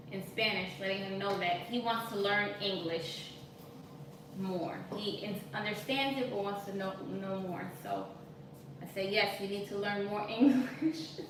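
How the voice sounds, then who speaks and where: A woman in her thirties hosting a cooking live stream from her home kitchen, chatting casually and reading viewer comments aloud. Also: mid-range voice. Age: 30s. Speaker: cooking channel host. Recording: echoey kitchen, distant mic, noisy.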